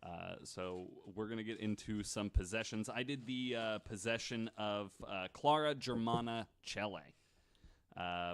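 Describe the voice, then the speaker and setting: A man's voice: on the low side, clean tone, no echo. A man hosting a podcast, casually retelling stories with wry joking asides. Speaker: podcast host.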